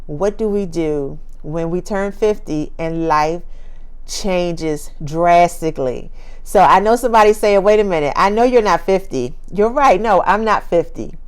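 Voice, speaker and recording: Upbeat woman in her 50s chatting to her followers, smooth clear voice, laughs a lot. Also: fairly low pitch, measured pace. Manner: chatty and upbeat, laughs often, repeats phrases for emphasis. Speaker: speaker and author hosting her own channel. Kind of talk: casual talk to camera.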